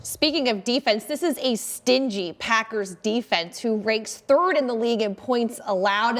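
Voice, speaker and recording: A woman hosting a sports talk show in an upbeat presenting style. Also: a little high.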